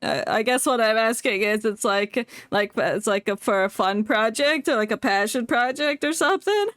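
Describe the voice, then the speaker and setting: Playful, animated woman streaming a game. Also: a little high, normal speed, clean tone, no echo, clean studio sound. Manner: playful, rambling, heckles game dialogue. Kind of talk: casual, jokey livestream commentary over a game.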